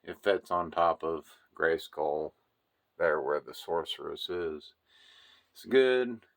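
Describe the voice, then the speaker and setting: A man giving a casual, rambling tour of his toy collection room, filling pauses with lots of uh and um while filming on a handheld phone. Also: extremely low pitch, animated, creaky, fairly bright tone. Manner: unhurried, rambling, many fillers. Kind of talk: casual walkthrough narration.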